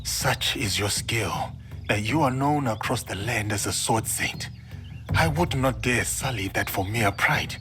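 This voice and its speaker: A man's voice: mid-range voice, close to an everyday voice. Man acting an earnest, honorable warrior, music behind. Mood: humble, earnest. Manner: formal, respectful, measured. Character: earnest, humble male warrior student, honorable and resolute. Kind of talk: dramatic game cutscene acting.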